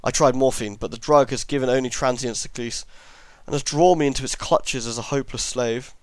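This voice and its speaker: Male amateur narrator, mid-pitched, horror story reading, dry sound, occasional stumbles on long words. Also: a little fast, dry recording. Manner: reads with stumbles over long words, self-correcting.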